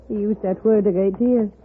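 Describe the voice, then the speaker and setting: A woman's voice: medium pitch, steady tone, moderate pace, lightly acted. An actress playing an anxious, wistful French-accented lady in a 1950s radio western, with hesitant, formal phrasing. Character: anxious, wistful foreign lady in the Old West. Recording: noisy, tinny 1950s radio recording.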